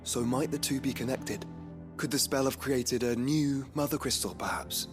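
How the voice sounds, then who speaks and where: A man's voice: medium pitch, normal speed, music in the background, lightly acted. An actor playing a courtly, earnest fantasy nobleman in a video game, with formal and thoughtful phrasing. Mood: speculating. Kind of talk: dramatic video game acting.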